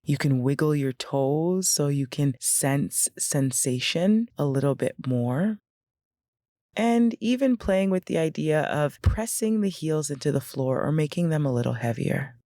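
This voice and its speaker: Female meditation guide: gentle and very slow, with noticeable vocal fry, recorded close and dry. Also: deep voice, animated, no echo. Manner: gentle, unhurried, instructional with pauses.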